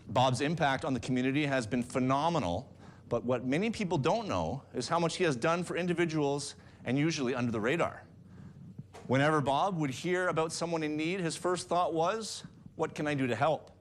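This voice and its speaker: A man working as a news anchor, reading a written tribute aloud. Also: a little high. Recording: hiss and ambient room noise.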